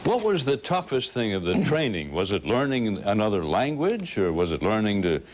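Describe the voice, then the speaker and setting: A man anchoring a news broadcast, narrating reports and interviewing a guest in a measured, polished delivery. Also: lowish voice, very animated, slight background noise.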